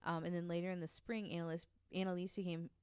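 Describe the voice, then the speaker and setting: Woman on a podcast going through a story, low smooth voice, lots of ums, dry close mic. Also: flat delivery, fast, no room sound. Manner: narrates at length with frequent ums, reads quotes aloud. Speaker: podcast co-host telling the story. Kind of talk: casual storytelling chat.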